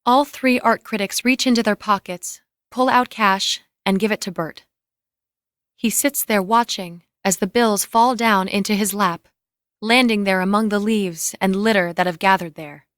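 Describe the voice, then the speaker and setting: A woman's voice: medium pitch, moderate pace, a bit bright, no echo, clean studio sound. A woman reading scripted, screenplay-style narration and stage directions.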